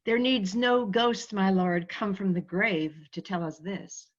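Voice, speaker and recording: A woman's voice: medium pitch, a little slow, natural voice. A woman reading the part of a prince's loyal, concerned companion in a Shakespearean drama, with earnest deference. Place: indoors on a video call. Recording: muffled video call audio.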